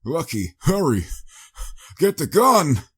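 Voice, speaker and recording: A man voicing a brave unicorn stallion in a storybook reading, gruff, strained and urgent. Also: a little high, huge pitch swings, slow, fairly bright tone, pitched above the performer's own voice, exaggerated voice. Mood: desperate.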